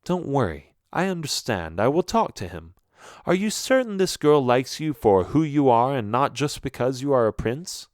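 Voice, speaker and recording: A man voicing a gentle, reassuring queen and mother in a storybook, in a kindly manner. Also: medium pitch, animated, normal speed, hardly any pauses, clean tone, dry recording, studio quality, pitched above the performer's own voice, close to an everyday voice. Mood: soothing.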